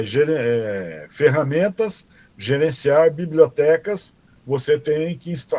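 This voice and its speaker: A man teaching a robotics class online, explaining code slowly and patiently, often checking in with "tá?" and "ok?" and correcting himself as he reads commands aloud. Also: medium pitch, warm tone, no echo. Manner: restarts and self-corrections while reading code aloud.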